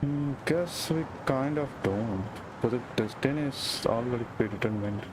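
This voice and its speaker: Very low-voiced woman streaming a game. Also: moderate pace, noisy background. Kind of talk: casual live gameplay reactions.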